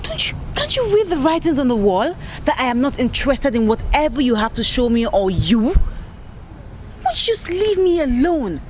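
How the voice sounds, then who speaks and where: A woman's voice: high-pitched, normal speed, slight background noise. A guarded young woman student. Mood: annoyed. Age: young adult. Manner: expressive, sharp when annoyed. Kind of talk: Nollywood film acting. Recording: film soundtrack with loud background music.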